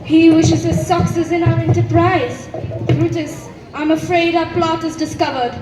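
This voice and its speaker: Girl playing an anxious Roman conspirator, very high voice. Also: steady tone, a little slow, a crowd in the background. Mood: anxious, urgent. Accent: Indian. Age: teenager. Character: anxious Roman conspirator plotting against Caesar. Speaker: student actor. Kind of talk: school stage play acting. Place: school stage, distant microphone. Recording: roomy, distant school stage with background noise.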